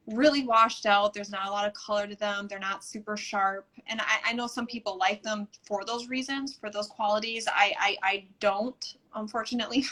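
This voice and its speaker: A woman's voice: mid-range voice, bright, forceful tone, low-quality recording. A woman guest on a casual live stream chat, eager and self-deprecating as she talks. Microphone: video call.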